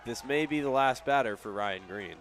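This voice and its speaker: A man with a medium-pitched voice adds color commentary to a baseball game. His delivery is conversational and understated. Music is clearly audible behind him at the ballpark. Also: moderate pace, a bit bright, a faint crowd. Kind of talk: live baseball analysis. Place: ballpark broadcast position.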